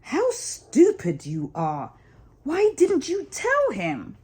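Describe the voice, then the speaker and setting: A woman's voice: sits high, wildly swooping pitch, slow, background noise, voice pushed up, full character voice. A woman voicing grumbling, querulous storybook rabbits that scold and blame one another. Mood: reproachful. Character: grumbling, squabbling rabbits blaming each other. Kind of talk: storybook character voice.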